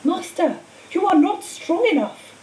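A woman's voice: extremely high pitch, big pitch swings, unhurried, warm tone, voice pushed up, exaggerated voice. A woman reading a book aloud, voicing a nervous male teacher who is curt with others and servile to his master. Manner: impatient, then pleading. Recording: echoey room, distant mic, faint hiss.

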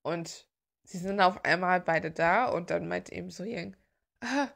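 A woman's voice: on the low side, unhurried, gravelly, a bit bright. A woman chatting casually and giggling as she gushes like a fan, rambling along as the host of a video. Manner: gushing, giggly.

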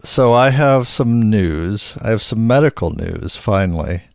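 A middle-aged man co-hosting a casual podcast chat, sometimes hoarse and clearing his throat, rambling with many restarts. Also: fairly low pitch, animated, measured pace, faint music behind. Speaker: podcast co-host.

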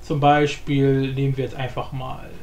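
A man giving a casual software tutorial, rambling informally in slang and thinking aloud. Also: mid-range voice, completely flat delivery, moderate pace. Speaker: tutorial host. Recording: echoey room, faint background noise.

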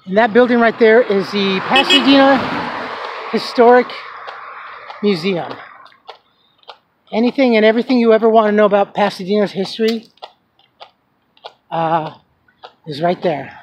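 A man's voice: sits high, moderate pace, long pauses. Chatty man on a street walking tour. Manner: chatty and rambling, with fillers. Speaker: vlogger giving a walking tour. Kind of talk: casual walking-tour narration. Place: outdoors on a residential street. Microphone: handheld camera, filming as he walks. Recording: outdoor noise and passing traffic. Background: traffic and street ambience.

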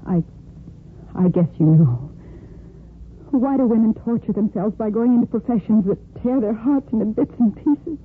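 Woman acting distraught young nurse, halting melodramatic delivery, radio soap, tinny band-limited sound, faint hiss. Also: fairly high pitch, natural voice. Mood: anguished, guilty. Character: overwrought, exhausted young surgical nurse wracked with guilt. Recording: muffled, noisy 1950s radio recording.

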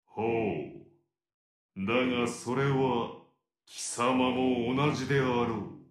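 A man's voice: mid-range voice, lightly acted. A man playing an imperious serpent demon king. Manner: archaic, imperious, slow. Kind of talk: video game story dialogue. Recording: roomy echo.

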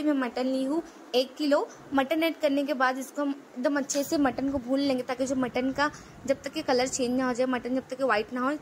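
A woman hosting a cooking channel, explaining a recipe step by step in a steady voice-over and often repeating her phrases. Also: high voice, a little hiss or hum.

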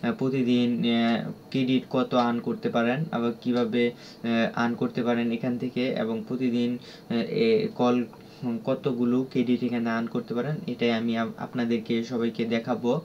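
A man with a Bangladeshi accent giving an unscripted app tutorial in a halting, repetitive, instructional way. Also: mid-range voice, completely flat delivery, a little mellow. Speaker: tutorial presenter. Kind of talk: unscripted screen-recorded app walkthrough. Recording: dull lo-fi audio with clear background noise.

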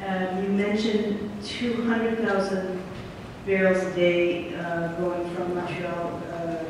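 Audience member, man from Syracuse, high voice, polite and wordy, distant and echoey. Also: monotone, takes it slowly. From Syracuse, New York. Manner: polite, talkative, hesitant. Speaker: audience member asking a question. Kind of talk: conference Q&A question. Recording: far-off mic, echoey hall, background noise.

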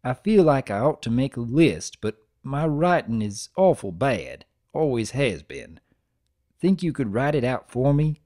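A man voicing a gentle, shy, laconic farm stallion, plain-spoken and folksy, in a story reading. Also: medium pitch, very animated, unhurried. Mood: gentle, awkward.

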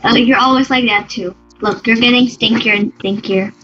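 A woman with a high voice plays along in a game roleplay and teases the others. The sound is dull and a little muffled, with game music playing clearly behind her. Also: steady tone, a bit bright. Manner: teasing, quick to argue. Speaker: roleplay player. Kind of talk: improvised roleplay chat.

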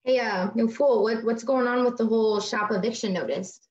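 A woman's voice: a little high, normal speed, a little mellow, close to an everyday voice. A woman acting an exasperated, streetwise young woman in a comedy table read, slangy and emphatic, from a living room on a video call. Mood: teasing. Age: young adult. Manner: slangy, emphatic, repeats words. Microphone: laptop microphone. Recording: echoey, dull video-call audio.

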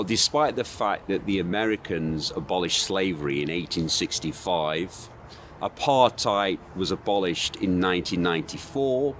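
A man narrating a documentary in a measured way, asking rhetorical questions. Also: on the low side, a bit bright, slight background noise. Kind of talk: scripted narration.

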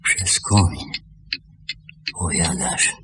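A lonely old shopkeeper in a radio play, bewildered and gentle. His low voice moves very slowly, stumbling and repeating words. A faint noise lies under the old recording. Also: full character voice. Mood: bewildered, hesitant. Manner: hesitant, stammering, repeating words. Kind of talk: Polish radio play acting.

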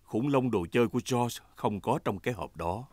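A man narrating a children's cartoon from a script in an expressive storytelling way. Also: medium pitch, brisk, no room sound. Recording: music behind the voice.

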